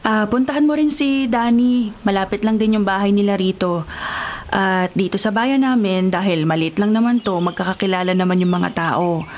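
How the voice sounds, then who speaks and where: A woman playing a kindly, welcoming small-town nun in a Filipino radio drama, with a gentle, counseling manner. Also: mid-range voice, normal speed, close to an everyday voice. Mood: helpful.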